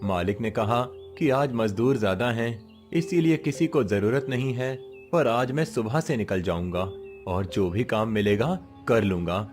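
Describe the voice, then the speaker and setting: A man's voice: mid-range voice, unhurried, a little mellow, natural voice. Man acting an earnest, determined village father over music. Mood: tender, weary. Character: poor, proud, determined village labourer father, tender with children. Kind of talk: Hindi moral story voice acting. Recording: music behind the voice.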